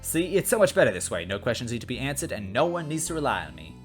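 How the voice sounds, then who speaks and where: A man acts out a clumsy, timid hero of a comic story. He sounds hesitant and self-deprecating, in an everyday mid-pitched male voice. Soft music plays behind him. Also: sing-song, fast talker, close to an everyday voice. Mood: anxious. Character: hapless, timid, clumsy young hero narrator.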